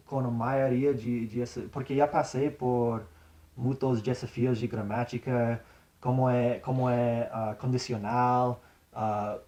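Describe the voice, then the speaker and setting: A man's voice: mid-range voice, little pitch movement, slow, dark tone. A young American man whose first language is English, chatting in an interview, hesitant, with many restarts and slips into English. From United States. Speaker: interview guest. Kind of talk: casual interview chat.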